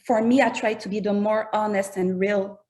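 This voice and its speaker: A woman's voice: medium pitch, fairly even intonation, normal speed, a little mellow. A woman with a French accent, a native French speaker, talking as a musician guest in an interview and carefully searching for her words in English. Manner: thoughtful, searching for words in a second language. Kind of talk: casual interview chat.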